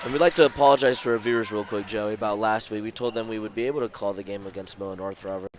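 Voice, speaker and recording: A man doing play-by-play at a basketball game, his voice sore and worn from illness, calling the action in short brisk bursts. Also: on the low side, steady tone. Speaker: play-by-play announcer. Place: school gym courtside. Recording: loud crowd and gym noise behind.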